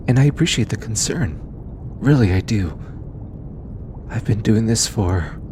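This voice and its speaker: A man playing a gentle, earnest alien scientist in an intimate audio drama, bookish and easily flustered, with a hesitant, softly stammering delivery. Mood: sincere, reassuring. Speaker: voice actor. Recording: steady low rumbling background noise.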